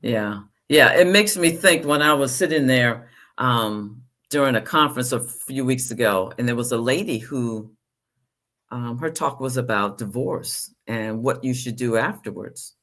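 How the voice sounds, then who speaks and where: A woman hosting a podcast with a bright, forward tone. She chats casually and tells self-deprecating stories, laughing at her own slips. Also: extremely low pitch, a little slow. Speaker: podcast host. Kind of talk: podcast interview conversation.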